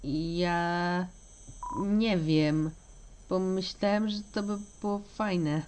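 A woman playing a naive, earnest child creator who is eager to please, hesitant and pleading, in an animated fan dub. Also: on the high side, measured pace, slightly rough, exaggerated voice. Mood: proud, hesitant. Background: music.

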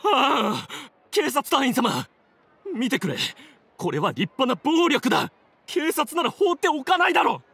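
Petty loudmouth game bad guy, raspy n whiny, kinda high, cowardly bluster. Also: big pitch swings, a little slow, heavily acted. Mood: indignant. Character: blustering, petty troublemaker man, indignant and cowardly. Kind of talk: Japanese game voice acting.

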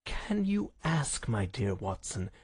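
A man's voice: medium pitch, very animated, takes it slowly, darkish tone, husky, full character voice. A man voicing a brilliant, self-assured detective in a storybook reading, wry and theatrical, with precise, lofty courtesy. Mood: wry, reassuring. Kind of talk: dramatised audiobook reading.

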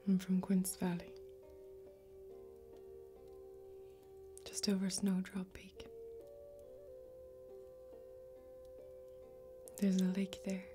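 A young woman playing a gentle, wistful warrior in an ASMR fantasy role-play, speaking in a slow, breathy, hushed and intimate way with long pauses. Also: mid-range voice, monotone, over music, natural voice. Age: young adult. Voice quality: breathy, whispery.

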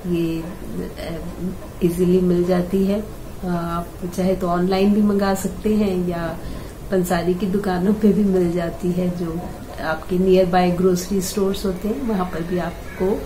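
Slow, hoarse older woman. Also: on the low side, steady tone. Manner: unhurried, chatty, frequent hesitations and throat clearing. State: hoarse throat. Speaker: host. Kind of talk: casual home-remedy tutorial. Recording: echoey room with faint ambient noise.

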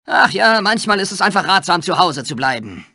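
An excitable male sports commentator from a cartoon game. He calls the match theatrically, with lively swings in pitch and a sharp, forceful tone. The recording is dry and close, and the delivery is over-the-top and exclamatory. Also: fairly quick, no echo, exaggerated voice. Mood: exasperated.

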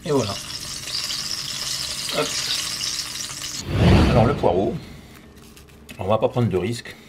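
A middle-aged man with a fairly low voice explains a recipe step by step. He talks informally, like a chef busy with his hands. The restaurant kitchen around him is loud, with clattering dishes and pans. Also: lively intonation, slow pace, heavy background noise. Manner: informal, talking while working with his hands. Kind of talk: casual step-by-step cooking demonstration. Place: professional restaurant kitchen, tiled, indoors. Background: loud kitchen ambience, clattering pans and cutlery.

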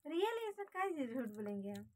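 A woman's voice: high voice, sing-song, moderate pace, a little mellow. A young adult woman with an Indian accent, talking casually on a phone call from a small room at home. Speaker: prank caller.

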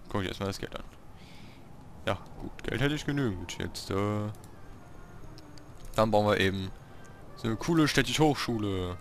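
A man giving casual gameplay commentary, talking to himself in short, halting remarks. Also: lowish voice, vocal fry, slight background noise. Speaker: let's player hosting a city-building game series.

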